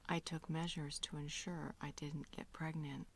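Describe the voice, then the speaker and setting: A woman playing a mother confessing in a roleplay audio drama, in a hushed, breathy voice that sounds faint and distant. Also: sits low, level tone, measured pace. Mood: regretful, secretive.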